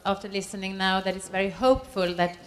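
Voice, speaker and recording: A woman's voice: mid-range voice, steady tone, quick pace. A woman speaking English as an audience member, offering a spontaneous comment at a workshop, hesitant and searching for words with many fillers. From Sweden. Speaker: audience participant.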